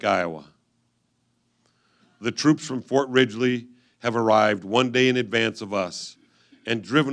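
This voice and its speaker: Gravelly-voiced man reading historical letters. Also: medium pitch, fairly bright tone. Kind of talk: reader's theatre reading of old letters.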